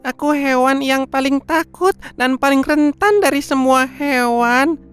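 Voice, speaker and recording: A woman's voice: sits high, a little slow. A woman voicing a small, timid mouse in a children's cartoon fable, earnest and pleading. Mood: frightened pleading. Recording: music behind the voice.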